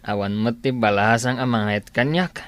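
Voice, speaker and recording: A man playing a hesitant village man in an audio drama, talking haltingly with a gravelly voice. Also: mid-range voice, a bit bright, close to an everyday voice. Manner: halting, drawn-out.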